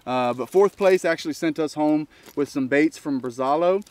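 A man's voice: on the high side, normal speed, darkish tone. A man, co-hosting a fishing channel in casual chat, talking in an easygoing way through results and thanks.

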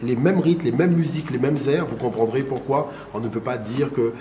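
A man's voice: medium pitch, fairly quick, a loud crowd. A middle-aged man, a guest historian, insistently arguing his point in a heated TV studio debate, often cutting in. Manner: insistent, interrupting, argumentative. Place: TV studio set, indoors. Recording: echoey studio with faint room hiss.

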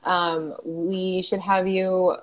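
Low-voiced American woman hosting a chat. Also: little pitch movement, extremely slow, lo-fi sound. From United States. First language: English. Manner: friendly, asks questions with hedging fillers. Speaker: host. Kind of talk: casual interview chat. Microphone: video call.

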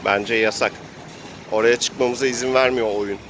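A man's voice: mid-range voice, fairly even intonation, moderate pace, noisy background. A man casually improvising narration as he plays a role-playing game.

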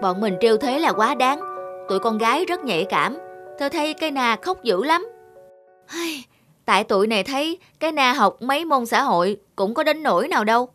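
An earnest cartoon schoolchild, with a fairly high voice and expressive delivery. Music plays clearly behind the dialogue. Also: female voice, a little fast. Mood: earnest, apologetic. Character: earnest schoolchildren, classmates who tease and make up. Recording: music bed under the voice. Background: background music.

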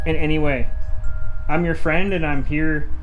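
A man's voice: a little high, fairly even intonation, measured pace, soft background music, poor audio. A man in his thirties filming a solo ghost-hunting vlog, nervously questioning spirits and talking to his viewers, recorded on a camera held at arm's length in an abandoned house and nearby woods. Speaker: paranormal vlogger.

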